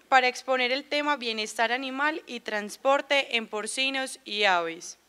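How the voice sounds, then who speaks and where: Bright, clear woman announcing a speaker. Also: a little high. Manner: formal, read-out announcement. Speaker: event presenter. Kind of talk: formal speaker introduction. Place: large auditorium, at a lectern.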